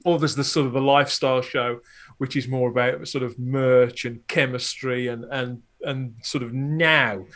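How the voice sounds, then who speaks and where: A man's voice: medium pitch, steady tone, moderate pace, a bit bright. A man talking casually as a guest on a podcast. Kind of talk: casual chat.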